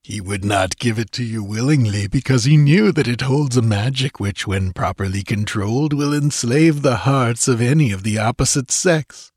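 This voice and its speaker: A man's voice: medium pitch, normal speed, few pauses, darkish tone, breathy edge, no echo, clean studio sound, natural voice. A man voicing a cruel, lecherous sorcerer-priest in an audiobook story, sardonic and purring with false courtesy. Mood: taunting. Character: cruel, mocking, smugly lecherous male sorcerer-priest villain.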